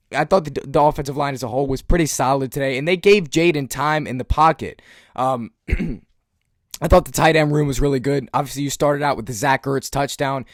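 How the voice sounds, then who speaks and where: A young man with a hoarse voice from screaming at a game, giving a casual post-game breakdown as a fan channel host, clearing his throat often and filling pauses with "um" and "uh.". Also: on the high side, dry recording, studio quality. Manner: frequent throat clearing, filler-heavy. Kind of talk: post-game analysis monologue.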